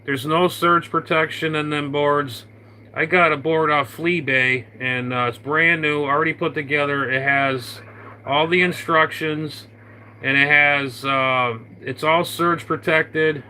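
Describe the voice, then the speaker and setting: A man's voice: on the high side, steady tone, a little slow, bright, forceful tone, a little hiss or hum, low-quality recording. A man in casual on-air radio chat, rambling with many fillers and restarts. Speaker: radio operator.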